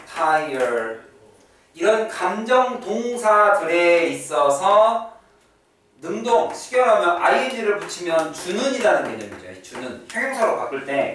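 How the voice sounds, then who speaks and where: A male English teacher lectures in a very echoey room, picked up from a distance. His fairly high voice swings up and down as he stresses and repeats key terms, posing questions and answering them himself. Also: fairly bright tone. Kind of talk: classroom-style grammar lecture. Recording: echoey room, distant mic, faint noise.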